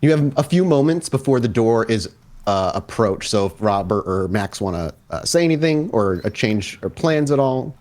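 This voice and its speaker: A man narrating a tabletop roleplaying game as storyteller, describing scenes off the cuff with pauses. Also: fairly low pitch, expressive, a little mellow.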